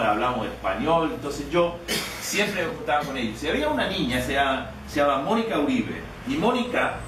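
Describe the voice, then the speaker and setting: A man with a fairly high voice preaches a sermon, telling a personal story in a halting, conversational way. He restarts and repeats words often. The recording is distant and echoey, with clear background noise. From Canada. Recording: echoey, distant, noisy room.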